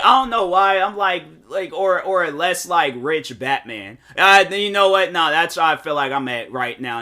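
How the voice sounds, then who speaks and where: A man's voice: fairly high pitch, bright, forceful tone. A man in his twenties hosting a solo podcast, giving a rambling motivational talk full of filler words. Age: 20s. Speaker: podcast host. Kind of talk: casual solo podcast monologue.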